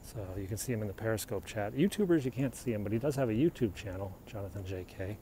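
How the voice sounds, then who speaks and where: A man's voice: on the low side, animated, quick pace, dark tone. A middle-aged man from the United States, chatting casually on a live stream and answering viewers' questions as he walks outdoors, filmed on a handheld phone. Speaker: livestreamer. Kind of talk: casual live-stream chat while hiking. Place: outdoors on a hilltop walk. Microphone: phone held while walking. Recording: noisy outdoor phone recording.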